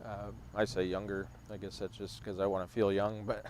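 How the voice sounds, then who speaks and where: A man in his thirties who works at a rescue mission, speaking reflectively and in a measured way during a casual talk outdoors. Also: lowish voice, level tone, darkish tone, slight background noise. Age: 30s. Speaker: rescue mission staff member. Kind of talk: casual conversation on a livestream. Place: outdoors on a bench.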